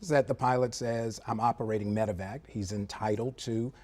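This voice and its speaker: Measured, mellow-voiced male expert guest. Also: medium pitch, fairly even intonation. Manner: measured, analytical, with frequent fillers. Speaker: former air traffic controller, studio guest. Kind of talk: live TV interview. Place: TV studio.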